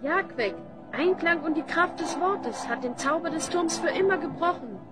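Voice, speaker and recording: A woman's voice: extremely high pitch, animated, normal speed, over loud music. A woman playing a brave young child hero, earnest and questioning, in a fantasy audio drama. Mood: earnest, explaining.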